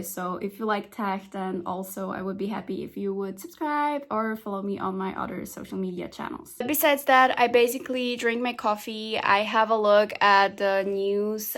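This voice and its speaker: A young woman whose first language is Slovak talks brightly and chattily to the camera. She films a casual home vlog at arm's length on her phone in her living room. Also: mid-range voice. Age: 20s. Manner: chatty, upbeat, talking to camera. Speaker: vlogger and software engineer. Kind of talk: casual day-in-the-life vlog.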